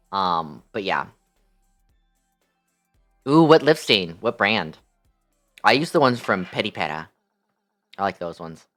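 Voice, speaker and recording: A man's voice: on the low side, pushed and bright. A young man livestreaming, chatting casually with his viewers in a playful, affectionate manner.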